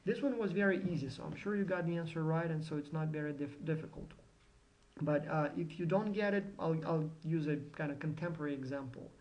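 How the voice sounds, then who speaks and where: A man gives a conversational recorded lecture, often pausing with fillers and restarting his words. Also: fairly high pitch, highs cut off. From Ukraine. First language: Ukrainian.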